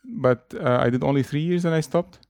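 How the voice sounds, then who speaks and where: A man's voice: on the high side, fairly quick, vocal fry, darkish tone, no echo. Guy in his 30s, chatty interview guest, kinda hesitant, laughs a lot, dry close sound. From Lebanon. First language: Arabic and French. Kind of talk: relaxed conversational interview.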